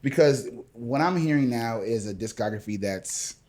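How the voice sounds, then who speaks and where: A man's voice: medium pitch, expressive, normal speed, darkish tone. An American man co-hosting a casual podcast interview, speaking in an affirming, emphatic way.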